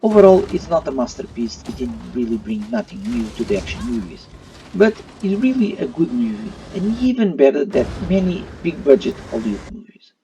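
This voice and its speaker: A man's voice: medium pitch, sing-song, fairly quick, background noise. A man giving an opinion review in voice-over, with non-native English phrasing. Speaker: YouTube movie reviewer. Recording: muffled, slightly distant mic. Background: faint music.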